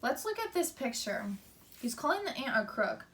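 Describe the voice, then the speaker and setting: Higher-pitched woman teaching, slightly distant microphone. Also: sing-song, normal speed, fairly bright tone. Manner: simple explanations with frequent questions. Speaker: teacher reading aloud. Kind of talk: interactive picture-book read-aloud for children.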